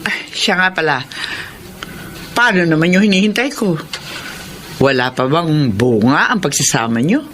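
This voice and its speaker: A doting middle-aged Filipino mother in a radio drama. Her delivery swings widely in pitch, full of motherly urging. A faint bed of ambient noise sits behind her. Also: lively intonation, normal speed, pauses often, a bit bright. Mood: coaxing, advising.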